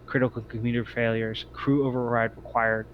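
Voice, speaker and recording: A man voicing an emotionless ship computer AI that booms out clipped emergency status announcements in a tabletop game. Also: level tone, normal speed, close to an everyday voice. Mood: flat alarm. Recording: muffled lo-fi mic with clear noise.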